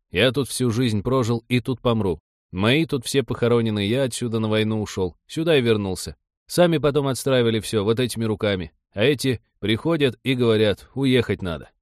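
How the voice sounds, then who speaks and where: A man's voice: medium pitch, expressive, normal speed, no room sound, clean studio sound, voice pushed up, close to an everyday voice. A man voicing a stubborn old village war veteran, grumbling in folksy colloquial speech for an audiobook. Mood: stubborn, dismissive.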